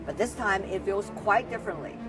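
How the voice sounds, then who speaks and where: A woman's voice: mid-range voice, expressive, fairly quick, distant mic, music in the background. A woman news host delivering scripted narration in a news report.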